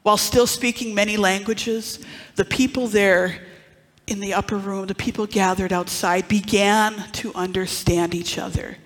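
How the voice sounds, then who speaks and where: A preacher from northern Wisconsin giving a Pentecost-style sermon, speaking with dramatic pauses and dropping into hushed asides. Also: medium pitch, steady tone. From northern Wisconsin, USA. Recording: long reverb of a large hall.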